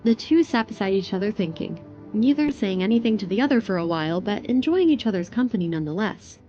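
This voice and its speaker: Female narrator for scripted audio fiction, dark mellow tone, medium pitch, slightly dull recording. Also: lively intonation, quick pace, soft background music.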